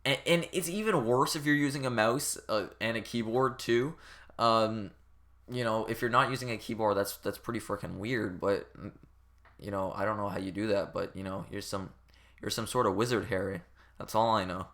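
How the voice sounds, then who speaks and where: A man's voice: fairly low pitch, moderate pace, fairly bright tone. A man giving a casual comedic rant as a game reviewer, rambling and exasperated, with informal, profane speech.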